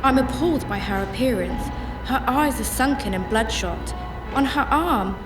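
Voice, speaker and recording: A woman's voice: on the high side, expressive, normal speed, lightly acted. A woman playing an anxious, tender heroine who narrates her own story in a radio drama with earnest, descriptive delivery. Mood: sombre. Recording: echoey, distant-sounding with music bed.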